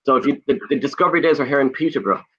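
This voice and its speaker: An Irish man on a video call makes an eager sales pitch, rattling off lists in quick bursts. His voice is bright and forceful, but the call audio is dull and lo-fi. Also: husky. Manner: fast, pushy, lists things off in rapid bursts. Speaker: guest, property investor. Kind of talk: enthusiastic sales pitch in conversation.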